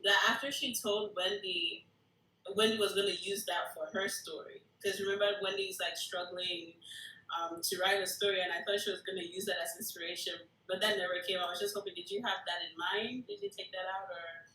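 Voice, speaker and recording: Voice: medium pitch, fairly even intonation, a little fast, sharp tone, a little hiss or hum. A participant giving feedback in a casual discussion, tentative and thinking aloud. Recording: roomy, echoing room sound.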